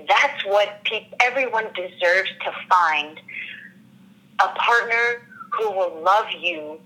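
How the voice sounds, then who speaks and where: 밝고 또렷한 목소리의 여배우, 진지한 인터뷰. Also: female voice, lowish voice, pushed and bright, low-quality recording. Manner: earnest and reflective, searching for words. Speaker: actress discussing her role. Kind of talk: interview answers.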